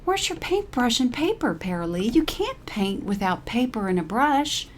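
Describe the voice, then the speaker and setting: An old woman played by a female storyteller in a comic short story, pitched up from normal talk. She insists and chides her friend. Noticeable noise sits behind the voice. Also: big pitch swings, normal speed. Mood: chiding, puzzled. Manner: insistent, chiding.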